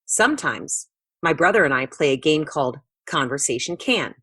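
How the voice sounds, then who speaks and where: A woman's voice: low-pitched, expressive, normal speed, fairly bright tone, husky, no echo, clean studio sound, heavily acted. A woman voicing a young girl who organises games and explains things expressively in a children's storybook. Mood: eager, explaining.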